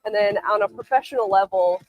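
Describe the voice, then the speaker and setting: A woman's voice: medium pitch, fairly quick, phone-quality sound. A woman giving a casual, gushing testimonial, chatty with many fillers. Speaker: testimonial speaker.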